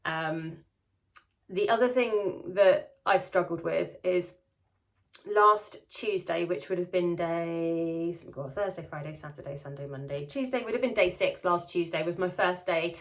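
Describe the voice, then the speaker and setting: A woman's voice: on the low side, unhurried. Chatty woman with a slight lisp. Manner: chatty, many ums and restarts. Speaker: vlogger. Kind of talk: casual diary vlog. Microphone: camera close-up.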